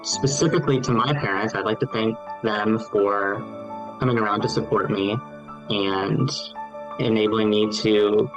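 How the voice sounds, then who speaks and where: A speaker from Ohio giving earnest, halting interview testimony as an advocate. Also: mid-range voice, fairly even intonation, dull audio. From Ohio, United States. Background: background music.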